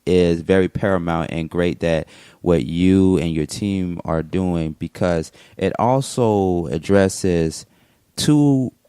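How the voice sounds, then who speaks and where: A man's voice: low-pitched, slow pace, mellow, clear, resonant tone, no room sound, clean studio sound. A man hosting a morning radio show, asking long, rambling questions full of restarts.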